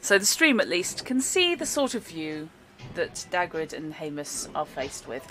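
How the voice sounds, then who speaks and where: A woman acts as game master, talking through the setting in a loose, chatty way full of little fillers and laughs. Her voice sits a touch low for a woman. Clear background music plays beneath her. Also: very animated, unhurried, a bit bright. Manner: chatty, explains lore with frequent laughs and fillers. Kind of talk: narrating a tabletop game.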